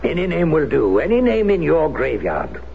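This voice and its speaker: A persuasive old-time radio drama Resistance fighter, with a lively, swooping delivery and a creaky edge. He presses his case formally and urgently, through a dull, noisy broadcast recording. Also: fairly high pitch, moderate pace, full character voice. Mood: scheming, persuasive. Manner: theatrical, urgent, formal pleading. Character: persuasive, insistent former French Resistance fighter guarding his group's reputation.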